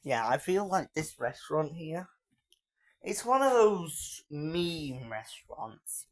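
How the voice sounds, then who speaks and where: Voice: mid-range voice, sing-song, takes it slowly, no echo, studio quality. A teenage live streamer with a British accent from Manchester, rambling casually and laughing often while reacting to videos. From England.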